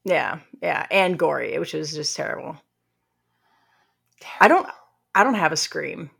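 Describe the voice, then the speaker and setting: A woman's voice: low-pitched, expressive, unhurried, gravelly, fairly bright tone, breathy edge. A woman co-hosting a podcast, chatting casually and acting out reactions as she tells anecdotes. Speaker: podcast co-host. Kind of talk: casual chat.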